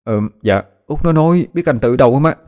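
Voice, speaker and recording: A man voicing a gentle, anxious older sibling with a Southern Vietnamese accent, speaking hesitantly in an audio story. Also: medium pitch, lively intonation, fairly quick, voice pushed up, lightly acted. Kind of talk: dramatised audio story narration.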